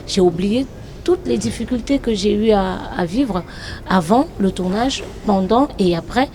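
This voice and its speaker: A woman actress answering interview questions in a reflective, hesitant way with many pauses. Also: medium pitch, mellow, a little hiss or hum. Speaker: actress and comedian.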